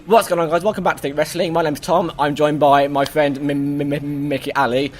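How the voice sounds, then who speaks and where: Hoarse man, excitable host, stammers and restarts, slightly high voice. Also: a little fast, slight background noise. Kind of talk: casual review chat.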